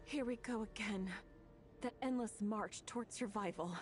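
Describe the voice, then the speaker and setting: A woman's voice: mid-range voice, measured pace, soft background music, close to an everyday voice. An actress playing a weary, despairing fugitive woman in a video game, bitter and worn down. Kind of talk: dramatic video game voice acting.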